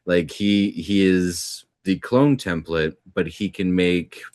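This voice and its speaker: Deep-voiced young man, easygoing interview guest. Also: steady tone, slow pace, a little mellow, no room sound, clean studio sound. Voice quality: deep natural speaking voice. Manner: easygoing, reflective, many fillers. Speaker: voice actor guest. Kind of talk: casual interview chat.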